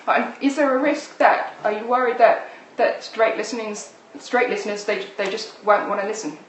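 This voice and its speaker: A woman interviewing in a live discussion, putting her questions in a halting, tentative way. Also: fairly high pitch. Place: echoey indoor space, live-streamed discussion. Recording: very echoey room, distant mic, faint noise.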